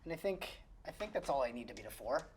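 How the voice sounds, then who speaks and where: A man's voice: mid-range voice, big pitch swings, quick pace, crowd noise. A man playing a tabletop roleplaying game, talking casually as he describes his character's moves.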